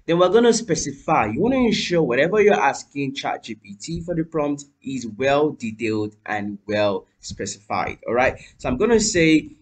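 A young man hosting a screen-recorded software tutorial at his home computer, talking viewers through each step and spelling out what he types. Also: mid-range voice, moderate pace, few pauses. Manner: lively, addresses viewers as "you guys", spells out letters while typing. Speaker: tutorial host. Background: keyboard typing.

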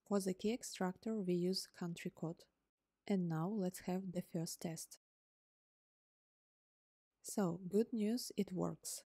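Low mellow woman, native Ukrainian speaker. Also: takes it slowly, long pauses, husky, dry recording, clean studio sound. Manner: calm, step-by-step explaining. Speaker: tutorial host. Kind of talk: scripted coding tutorial narration. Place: voice-over on screen recording.

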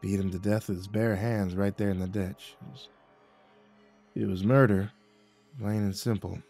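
A man voicing an older uncle who gruffly recounts a grim family secret. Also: on the low side, fairly even intonation, moderate pace, dark tone, faint music behind. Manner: gruff, blunt, profane.

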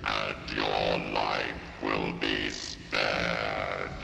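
A man playing a merciless alien machine ruler in a science-fiction audio drama, slow and commanding, through a robotic filter. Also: extremely low pitch, level tone, vocal fry, heavily acted. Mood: cold, commanding. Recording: echoing, music under the voice.

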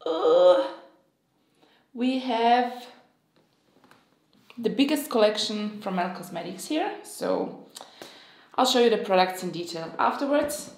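A woman in her thirties from Switzerland, Croatian by first language, gushing excitedly through a beauty unboxing to camera at home. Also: a little high, reverberant. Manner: excitable, gushing, with sighs and small laughs. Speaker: beauty vlogger. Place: home room, indoors.

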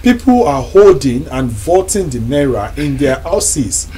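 Young Nigerian man, TV host, mid-pitched, measured, faint studio hum behind. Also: big pitch swings, dark tone. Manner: measured, reports news then poses questions. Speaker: talk show host. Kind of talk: studio talk show hosting. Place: TV studio lounge set.

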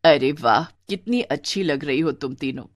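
Woman acting a soft, low-toned patient mother. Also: animated, moderate pace, dry recording, clean studio sound. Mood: placating. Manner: soft, placating, pleading. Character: gentle worried middle-aged mother, patient and meek.

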